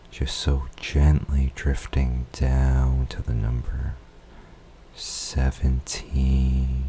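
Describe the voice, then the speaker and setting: A man guiding a hypnosis countdown in a soft, soothing voice, drawing words out and slipping into whispers. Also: a bass voice, a little hiss or hum. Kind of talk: slow guided hypnosis countdown.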